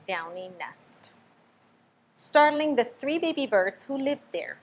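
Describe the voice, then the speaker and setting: A woman reading a children's picture book aloud, expressive, stopping to ask the listener questions. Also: medium pitch. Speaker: storybook reader.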